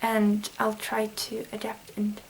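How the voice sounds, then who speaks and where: A woman's voice: mid-range voice, monotone, slow pace, gravelly, slight background noise, rough recording. A Swedish-speaking woman explaining language study in a casual, earnest vlog lesson, thinking aloud with small fillers. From Sweden. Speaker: language learning vlogger.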